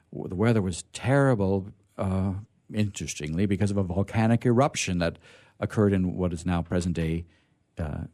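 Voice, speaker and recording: A man's voice: on the low side, dark tone. A man hosting a radio theatre reading, introducing it off the cuff and searching for his words as he goes. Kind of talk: unscripted introduction to listeners.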